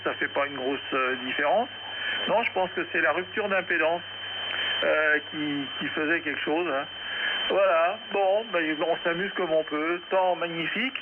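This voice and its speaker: A man speaking casually and unhurriedly as an amateur radio operator, rambling a little over a shortwave transmission. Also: on the high side. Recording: very noisy, narrow-band shortwave radio reception. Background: loud radio static and noise.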